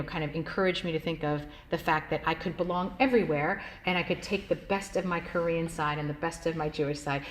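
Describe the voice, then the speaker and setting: Woman, rabbi, personal storytelling, conversational, lower-pitched voice, faint background noise. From United States, Washington State. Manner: conversational, frequent "like" fillers, laughs easily.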